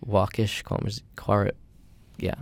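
A man's voice: lowish voice, level tone, slow, vocal fry, no room sound. Easygoing young man, rambling chat. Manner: rambling, easygoing, lots of "like" and "you know". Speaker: conversation partner. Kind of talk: casual chat.

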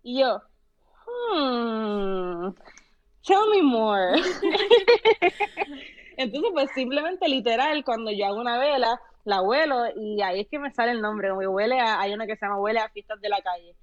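A young adult woman from Puerto Rico with a Puerto Rican accent, a podcast guest telling stories in relaxed chat, mixing Spanish and English. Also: fairly high pitch, measured pace, dull audio. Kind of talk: casual interview chat.

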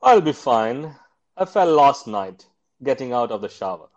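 A man's voice: mid-range voice, sing-song, a little slow, a little mellow. A man playing a hurt, evasive doctor in an amateur audio drama, answering briefly and hesitantly. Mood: subdued, evasive. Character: hurt, evasive male doctor hiding an injury. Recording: dull, lo-fi microphone.

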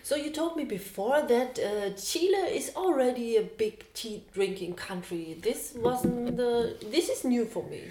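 A woman's voice: a little high, expressive, a little slow, few pauses, warm tone, a little hiss or hum. A woman hosting a podcast, friendly and informal, with many fillers and short agreeing sounds. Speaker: podcast host, hypnosis coach and tea master. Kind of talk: podcast host intro and interview.

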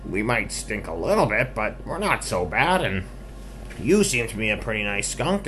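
A man's voice: lowish voice, a bit bright, a little hiss or hum, pitched above the performer's own voice. A man voicing a good-natured, agreeable little farm pig in a bedtime story for children, hearty and easygoing.

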